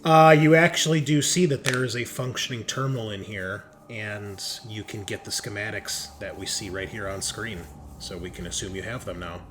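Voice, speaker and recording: A man improvising narration as game master of a tabletop roleplaying game, speaking conversationally and often pausing mid-sentence to think. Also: on the low side, background noise.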